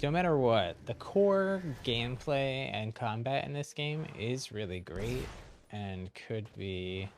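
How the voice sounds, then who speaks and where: A man streaming a video game, giving casual live commentary and musing aloud in a hedging, thinking-out-loud way. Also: mid-range voice, a little hiss or hum. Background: game music.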